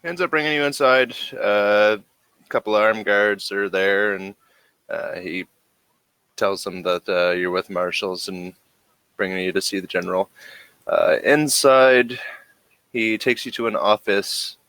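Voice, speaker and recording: A man improvising narration as game master of a tabletop game, halting as he searches for words. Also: lowish voice, a bit bright, smooth, dry recording.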